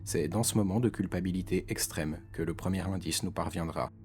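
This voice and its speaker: A man giving scripted explanatory narration in French video-essay style, with a measured, serious storytelling manner. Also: deep voice, darkish tone, a little hiss or hum. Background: background music.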